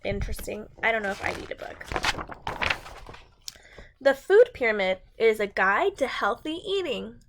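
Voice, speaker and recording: A woman's voice: on the high side, big pitch swings, unhurried, long pauses, background noise. A woman reading a picture book aloud to young children and chatting to them in simple, encouraging language.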